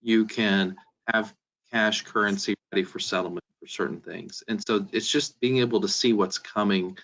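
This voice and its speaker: A man's voice: lowish voice, fairly bright tone. Man presenting a webinar, dull band-limited audio. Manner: explanatory, addresses listeners directly. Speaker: software company presenter.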